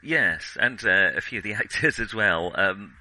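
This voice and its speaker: A man with a fairly low voice and a very bright, sharp edge to it. He co-hosts a film podcast, talking casually and picking his way thoughtfully through his points. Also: lively intonation, moderate pace, rough recording.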